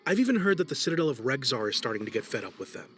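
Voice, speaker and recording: An actor playing a wary young student in a video game, talking in a cautious, musing way. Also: male voice, medium pitch, sing-song, very fast, fairly bright tone, a little hiss or hum. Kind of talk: video game cutscene acting.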